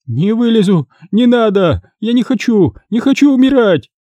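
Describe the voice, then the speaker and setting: A man's voice: high-pitched, expressive, normal speed, mellow, no echo, dull audio, pitched above the performer's own voice. A frightened older woman from a crime audiobook, acted out by a man, in a sharply raised voice. She wails and wheedles, flustered and coarse, with swooping intonation. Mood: terrified, pleading. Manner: wailing, pleading, flustered.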